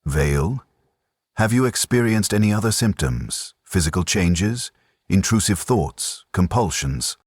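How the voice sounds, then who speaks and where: A man voicing a pragmatic male colonel, measured, controlled and firm, in a narrated war story. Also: sits low, animated, breathy edge, no room sound, studio quality, heavily acted. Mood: probing.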